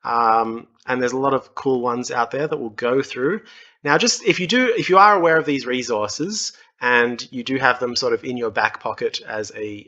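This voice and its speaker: Bright-voiced Australian man, chatty. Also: medium pitch. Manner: chatty and self-deprecating, with many fillers. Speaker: YouTube host. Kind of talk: casual screen-share walkthrough. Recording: slightly dull lo-fi sound.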